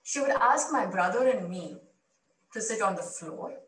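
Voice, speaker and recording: A woman in her thirties telling a reflective personal story to camera in measured, deliberate phrases, recorded from a distance. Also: medium pitch, lively intonation, a bit bright. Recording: roomy, distant microphone via video playback.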